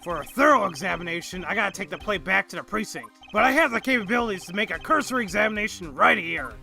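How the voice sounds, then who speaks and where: A woman playing a gruff, folksy, friendly male police detective in a fan-dubbed video game, with a gravelly voice. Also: mid-range voice, animated, fast talker, pushed and bright, soft background music. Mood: friendly, explaining.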